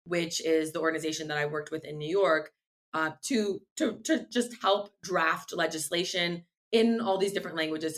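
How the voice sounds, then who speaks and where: A woman whose first language is Farsi, answering interview questions in an earnest, thoughtful way with frequent restarts. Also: low voice. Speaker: guest, legal tech founder.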